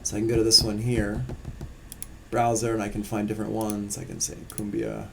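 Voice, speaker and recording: A man teaching music production in a casual, relaxed live-streamed software tutorial, explaining as he works on screen. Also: lowish voice, fairly quick, gravelly.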